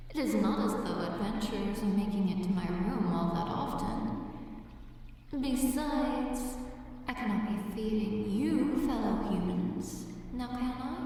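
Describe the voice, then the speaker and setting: A woman's voice: fairly high pitch, darkish tone. Woman playing a haughty monster woman, cavernous echo. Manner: haughty, teasing, formal old-fashioned diction. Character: predatory female dungeon boss monster, possessive and condescendingly amused. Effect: cave echo. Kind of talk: ASMR audio roleplay, theatrical archaic speech. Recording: echoing cave reverb, distant, faint music.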